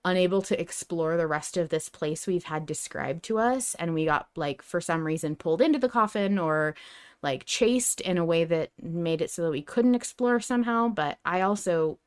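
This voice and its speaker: A woman hosting a casual podcast discussion about fiction, talking in an animated, emphatic and slangy way. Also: fairly low pitch, normal speed, clean tone. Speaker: podcast host.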